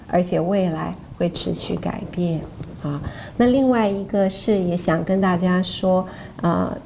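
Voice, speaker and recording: Mellow, low-pitched woman. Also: a little hiss or hum. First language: Mandarin Chinese. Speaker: course co-presenter and interpreter. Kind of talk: informal explanation to camera.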